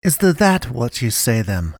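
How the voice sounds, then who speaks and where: A man reading a story aloud, voicing a cold, commanding woman who speaks in halting, broken phrasing. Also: rumbling low, sing-song, a little mellow, husky, dry recording, studio quality, heavily acted. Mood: matter-of-fact.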